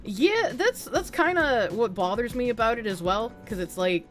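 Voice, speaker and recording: A woman's voice: a little high, lively intonation, moderate pace, faint music behind. A woman with a mild Boston accent, chatting casually on a livestream, reading donations and answering chat in a rambling, frank, profane way with frequent restarts.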